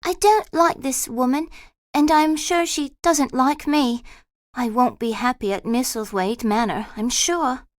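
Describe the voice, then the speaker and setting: A man's voice: extremely high pitch, unhurried, no echo, studio quality, pitched above the performer's own voice. Mary, a man putting on a sulky lonely little girl. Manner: sulky, blunt inner thoughts. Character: rude lonely unhappy young girl. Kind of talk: storybook reading.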